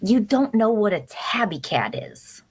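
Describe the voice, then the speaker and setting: Chatty, teasing young female adventurer played by a woman, very animated delivery, folksy and persistent, for fantasy audio drama. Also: mid-range voice, unhurried, breathy edge. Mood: curious, warning. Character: chatty, nosy, cheerful young female traveler. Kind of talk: dark fantasy audio drama table read.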